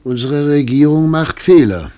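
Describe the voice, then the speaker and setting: A man's voice: fairly high pitch, animated, measured pace, mellow. An actor playing an upright, stubborn governor and father in a radio drama, speaking formally and with authority. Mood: measured, candid. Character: upright, stubborn male governor and family patriarch. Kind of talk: German radio drama acting.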